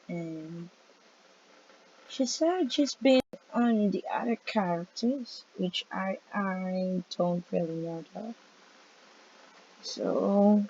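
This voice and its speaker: A woman's voice: mid-range voice, drawn-out words, long pauses, warm tone, a little hiss or hum. A woman streaming a game and chatting casually, recorded with dull lo-fi sound and game music behind her. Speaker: gameplay streamer.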